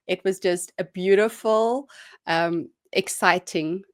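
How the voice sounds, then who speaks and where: A South African woman, a new podcast host, chatting in a warm, slightly nervous way with giggles. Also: lowish voice, takes it slowly. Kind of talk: casual podcast chat. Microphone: podcast microphone.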